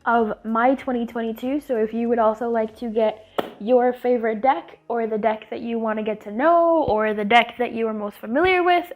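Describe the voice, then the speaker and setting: A woman's voice: a little high, muffled recording. A woman hosting her channel, with a sniffly, congested voice, chatting casually through a tutorial and rambling with fillers and restarts. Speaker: channel host.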